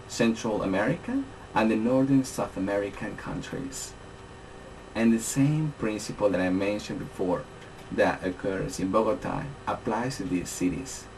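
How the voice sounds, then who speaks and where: Colombian Spanish-accented man teaching Spanish, low lively voice, faint room hiss. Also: low-quality recording. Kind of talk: scripted introduction.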